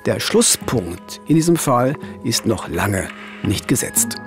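A man's voice: mid-range voice, sing-song, takes it slowly, over loud music. A man in his fifties telling true crime cases in a conversational, narrated podcast style as host. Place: small dark radio studio, indoors. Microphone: studio microphone on a boom arm, close.

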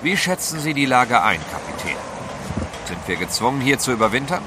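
An actor playing a resolute gentleman adventurer in a German audio drama, formal, polite and commanding. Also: medium pitch, very animated, brisk, background noise, lightly acted. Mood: determined.

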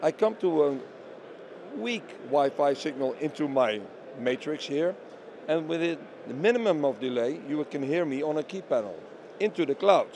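A man in a product interview speaks with a mellow, relaxed tone at a slightly raised pitch. He explains step by step, posing questions and answering them himself. A light bustle of an exhibition hall sits behind his voice. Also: moderate pace, slight background noise. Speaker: company representative being interviewed. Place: busy trade show hall booth. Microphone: handheld interview microphone held by interviewer.

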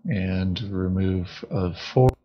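A man playing a board game, talking casually in terse, deadpan remarks. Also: sits low, extremely slow. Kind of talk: casual gaming chat. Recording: dull, lo-fi voice chat microphone.

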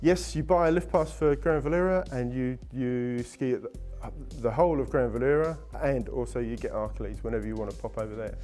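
Man in his 50s, warm mellow tone, upbeat sales pitch, halting restarts, lapel mic in a studio. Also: soft background music. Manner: enthusiastic salesy, hesitant restarts. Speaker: travel company guest. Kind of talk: interview answers. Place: indoor studio set with wooden walls.

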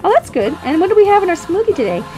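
Middle-aged woman, children's puppet host, casual chat, very animated pitch, small room, light background music. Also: racing.

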